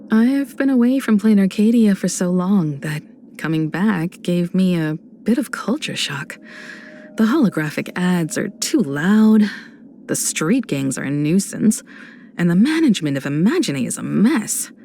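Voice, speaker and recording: An actress voicing a composed adult woman space traveler in a video game, a warm mentor who speaks in a measured, reflective, gently teasing way. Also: fairly low pitch, a little hiss or hum. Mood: wry, confident. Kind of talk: video game character voice lines.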